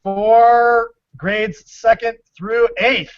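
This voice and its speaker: A man's voice: very high-pitched, fairly even intonation, drawn-out words, no room sound. An American man co-hosting a show with playful quiz banter, recorded dry and a little dull. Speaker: co-host. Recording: dull, dry band-limited sound.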